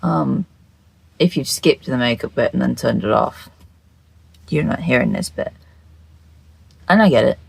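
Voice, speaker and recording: A makeup video creator with a croaky, just-woken voice, chatting casually to camera in a scatterbrained, rambling, sweary way and repeating phrases. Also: medium pitch, unhurried, long pauses, low-quality recording.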